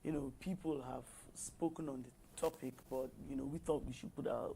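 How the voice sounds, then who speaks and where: Gravelly, mellow woman co-host. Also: a bass voice, steady tone, slight background noise, low-quality recording. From Nigeria. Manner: measured, frequent "you know" fillers. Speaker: talk show co-host. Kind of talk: casual discussion on a talk show.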